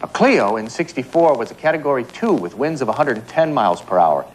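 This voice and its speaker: An actor playing an earnest, insistent TV hurricane forecaster, serious and explanatory in delivery. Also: male voice, medium pitch, big pitch swings, fairly quick, a little hiss or hum, lightly acted, rough recording. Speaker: TV weather forecaster. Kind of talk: film drama dialogue and broadcast delivery.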